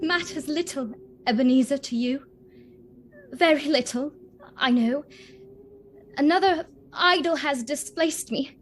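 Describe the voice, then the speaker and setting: An actress playing a sorrowful but resolute young fiancée in a radio play, measured and pausing between phrases. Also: female voice, sits high. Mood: hurt, resigned. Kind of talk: theatrical radio play acting. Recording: noisy ambience behind the voice.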